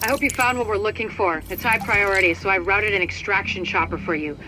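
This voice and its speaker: An actor playing a brisk, businesslike military officer directing agents by radio in a video game. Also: male voice, sits high, music in the background, close to an everyday voice, low-quality recording. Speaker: mission coordinator.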